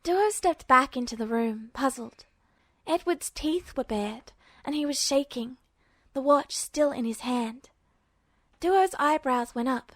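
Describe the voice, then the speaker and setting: A woman's voice: high-pitched, slow, no echo. A woman narrating a story from a script as an audiobook-style reader. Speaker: podfic narrator.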